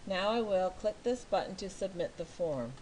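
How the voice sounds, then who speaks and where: A middle-aged American woman from California, a teacher talking slowly and patiently through each step of filling in a form online. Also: mid-range voice, animated, darkish tone, a little hiss or hum, low-quality recording. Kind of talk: screen walkthrough tutorial.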